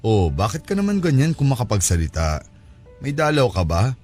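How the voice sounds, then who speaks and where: An actor playing an evasive, weary married lover in a Tagalog audio drama, conversational and placating. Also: male voice, mid-range voice, wildly swooping pitch, normal speed, darkish tone, faint music behind.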